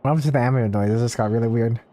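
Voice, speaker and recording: A man's voice: medium pitch, very fast, dark tone. A man doing casual, offhand game stream commentary, chatting to viewers and reading out bits of quest text. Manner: offhand, reads dialogue aloud between asides to chat. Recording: game music behind. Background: game music.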